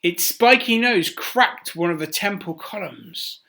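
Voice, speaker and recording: A man reads a traditional tale to children like a teacher in class. His delivery is unhurried and expressive, with wide swings in pitch and dramatic emphasis on key words. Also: creaky. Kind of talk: children's story read aloud.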